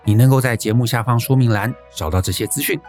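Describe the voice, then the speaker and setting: A man's voice: mid-range voice, fast talker, darkish tone. A man reading a scripted promotional outro as an announcer. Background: music.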